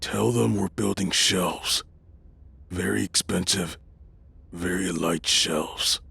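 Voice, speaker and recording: A man playing a weary, stubborn archbishop in an audio drama, speaking in a dry, deliberate way with a gravelly, husky voice. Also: low voice, pushed and bright, no room sound, full character voice. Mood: terse, dry. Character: weary, stubborn male archbishop leading a secret mech project.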